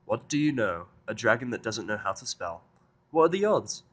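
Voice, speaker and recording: A man's voice: fairly low pitch, very animated, normal speed. A man playing a sarcastic, irritable human with a dry, stubborn manner and plenty of swearing, in a fan fiction audio drama. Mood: mocking, exasperated.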